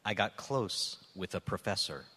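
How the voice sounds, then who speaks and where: Guy panelist reading clues, crisp bright tone, very up and down, echoey. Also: sits low, lively intonation, normal speed, slight background noise. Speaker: political editor as panelist. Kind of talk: live comedy panel, reading clues aloud. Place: live stage before an audience. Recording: echoey hall.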